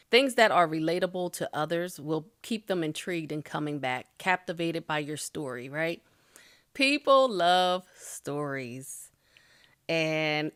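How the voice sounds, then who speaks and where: A middle-aged woman talks through creator tips in an easygoing, chatty way, laughing lightly and restarting her sentences as she goes. Her voice sits a little low, and the recording is dry with no room sound. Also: smooth, no echo. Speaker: YouTube creator giving tips. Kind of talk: casual spoken tutorial.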